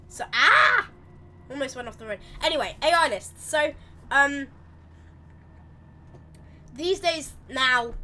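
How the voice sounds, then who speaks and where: A woman with a slightly croaky voice, rambling chattily through live gameplay commentary and correcting herself as she goes. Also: fairly high pitch, bright, forceful tone, slight background noise. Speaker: game streamer.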